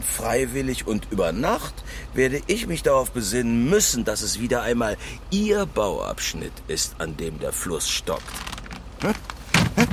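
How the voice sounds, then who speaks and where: An actor playing a sardonic, bullying, exasperated construction site boss in a theatrical radio play, mocking and condescending. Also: male voice, on the low side, noisy background.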